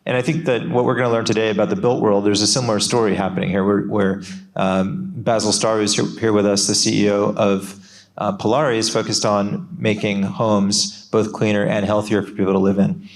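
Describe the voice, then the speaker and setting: A man with a lowish voice moderates a live panel. He talks in an easygoing, conversational way, often pausing on fillers as he introduces his guests. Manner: relaxed, conversational, with frequent fillers.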